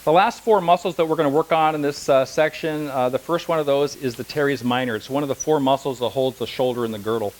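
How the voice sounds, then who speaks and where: Middle-aged male instructor, mid-pitched, rapid, anecdote-heavy classroom talk. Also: low-quality recording. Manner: conversational, rambling with anecdotes and asides. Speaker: massage instructor. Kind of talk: classroom lecture with demonstrations. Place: classroom, speaking unamplified.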